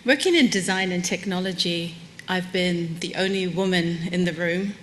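A woman's voice: on the low side, moderate pace, a little hiss or hum. A woman, giving a candid, self-deprecating keynote talk from a lectern on a conference stage. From Samoan heritage, New Zealand. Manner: warm, candid, self-deprecating with light laughs. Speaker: conference speaker. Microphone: lectern microphone.

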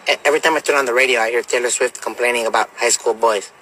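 A man's voice: medium pitch, steady tone, racing, sharp tone. A man in a comic back-and-forth argument, with faint noise on the recording.

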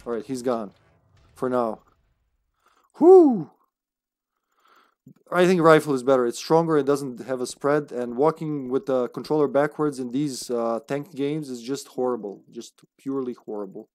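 A man's voice: medium pitch, lively intonation, moderate pace, darkish tone. A man in his thirties with a Serbian accent, joking and laughing through casual live gameplay commentary. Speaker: streamer.

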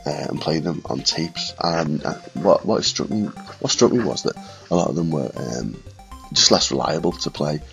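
A man in his thirties with a northwest English accent and a cold, reminiscing quietly and casually to camera in a room at home. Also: rumbling low, gravelly, low-quality recording. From Liverpool and Widnes, England. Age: 30s. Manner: quiet, subdued late-night talking. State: congested with a cold. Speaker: retro gaming video maker. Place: small furnished room at home, indoors.

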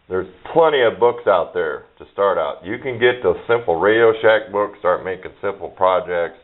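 A middle-aged man giving a casual, rambling show-and-tell in an offhand, folksy way, recorded on a handheld camera's built-in microphone. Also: sits low. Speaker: hobbyist showing a parts collection. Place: indoor workbench. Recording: muffled phone-like sound with hiss, clicks.

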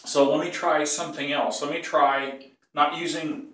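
An older man with a cold teaches bagpipe technique in a casual, rambling way. He keeps clearing his throat and stops to correct himself. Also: fairly high pitch, steady tone, normal speed, fairly bright tone. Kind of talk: casual tutorial. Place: small tiled bathroom. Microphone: phone or camera some feet away. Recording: echoey bathroom, voice from a distance.

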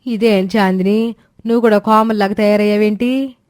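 A woman's voice: mid-range voice, fairly even intonation, moderate pace, darkish tone, no echo, clean studio sound, close to an everyday voice. A woman playing a devoted, plain-spoken village wife in a moral story, with homely colloquial speech. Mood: puzzled. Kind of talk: animated moral-story dialogue.

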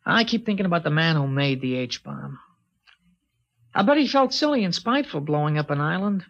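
A man's voice: on the high side, expressive, a little fast, gravelly, fairly bright tone, heavily acted. An earnest teenage boy on radio. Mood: puzzled. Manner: plain, reflective, a little hesitant. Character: earnest teenage boy narrating the last day. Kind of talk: 1950s radio drama acting and narration. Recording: dull 1950s radio broadcast recording.

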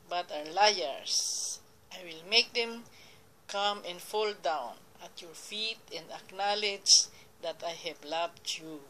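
A woman reading Bible verses aloud and explaining them in a slow, deliberate way, now and then correcting herself, recorded on a phone pointed at a computer screen. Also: fairly low pitch, sharp tone, a little hiss or hum, rough recording. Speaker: lay preacher. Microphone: phone camera filming a computer screen.

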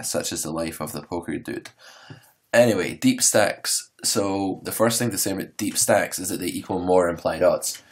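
Hoarse low-voiced man explaining poker. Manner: relaxed, rambling, filler-heavy explaining. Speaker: poker coach and podcast host. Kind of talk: solo instructional podcast.

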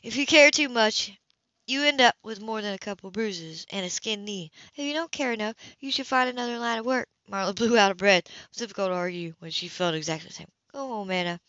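A woman's voice: mid-range voice, fairly quick, bright, forceful tone, no echo, lo-fi sound. A woman reading a romance novel aloud as an amateur narrator, stumbling and restarting now and then. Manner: reads with frequent slips and restarts. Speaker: audiobook reader.

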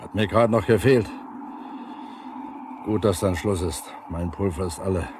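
An actor playing a stout, weary, stubborn older brigade leader with gruff colloquial speech in a 1970s East German radio drama. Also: male voice, deep voice, a little slow. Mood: exhausted, stubborn. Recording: noisy 1970s vinyl radio play.

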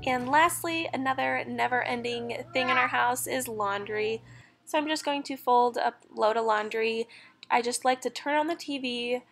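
A young woman talks through her evening chores in a casual, candid voice-over. Her voice sits a little high and stays easygoing. Clear music plays beneath her the whole time. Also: normal speed. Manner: chatty, relaxed, candid. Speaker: vlogger. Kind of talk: voice-over narration of a cleaning vlog. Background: music bed.

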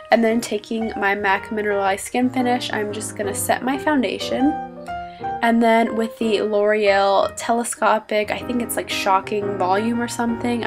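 A young woman with a stuffy cold, chatting upbeat through a makeup tutorial in her small bedroom and naming each product as she goes. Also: medium pitch. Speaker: beauty vlogger.

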